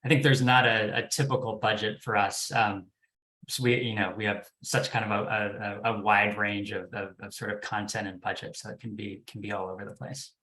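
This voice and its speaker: A man's voice: lowish voice, little pitch movement, normal speed, bright, forceful tone. A man answering as a panelist, conversational and tentative as he works through his thoughts. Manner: tentative, hedging delivery. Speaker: panelist, podcast development executive. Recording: echoey, reverberant room.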